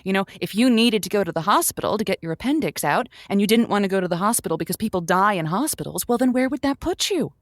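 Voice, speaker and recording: An actress playing a lively, romantic woman who hosts a lonely-hearts radio show, talking in a theatrical, chatty way. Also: mid-range voice, fast, few pauses, no room sound, clean studio sound, lightly acted. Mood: encouraging. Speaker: radio host. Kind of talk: audio drama acting, late-night radio host patter.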